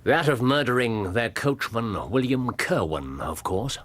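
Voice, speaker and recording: An actor playing a shrewd, courteous gentleman detective with an English accent in a radio drama, precise and theatrical. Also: mid-range voice, expressive, a little slow, background noise, lightly acted. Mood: composed, assured.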